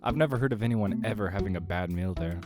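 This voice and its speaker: A man with a low voice reads a visual novel aloud for his channel, giving it an expressive delivery with casual asides. Clear background music plays behind him. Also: fast. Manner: expressive dramatized reading. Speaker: gaming content creator. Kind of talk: reading a visual novel aloud with side comments.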